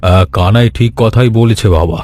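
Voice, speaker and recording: A man's voice: fairly low pitch, moderate pace, darkish tone, no echo, close to an everyday voice. A man voicing a kindly old village uncle in a cartoon story, with a fatherly, moralizing manner. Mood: gently advising. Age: older. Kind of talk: Bengali animated story voice acting.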